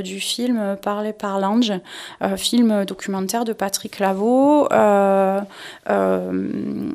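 Woman w gravelly fry in her voice, explaining an event off the cuff, hesitates and repeats herself a lot. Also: medium pitch, darkish tone. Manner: halting, hesitant, repeats words while searching. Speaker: cultural festival organiser and interview guest. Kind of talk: spontaneous spoken event presentation.

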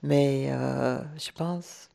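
A woman's voice: extremely low pitch, steady tone, extremely slow, vocal fry, dark tone. A woman from Ireland, speaking French as an as a radio guest, hesitant and searching for words. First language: English. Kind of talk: relaxed radio chat.

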